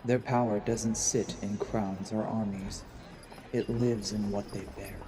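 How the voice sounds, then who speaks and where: A man playing a coldly calculating assassin who poses as a lord, narrating gravely and deliberately in a fantasy audio drama. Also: on the low side, little pitch movement, background noise, natural voice. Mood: brooding, menacing.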